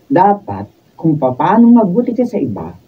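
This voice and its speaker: A woman playing a kindly older mother in a Filipino radio drama, speaking with gentle motherly counsel and a Filipino accent. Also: fairly low pitch, sing-song, normal speed, slightly rough. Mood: gently admonishing. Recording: muffled, distant, faint noise, phone-like.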